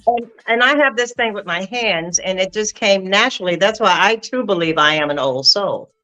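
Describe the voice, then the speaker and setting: Expressive older woman singer. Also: mid-range voice, normal speed, poor audio. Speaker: guest singer. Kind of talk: casual interview chat.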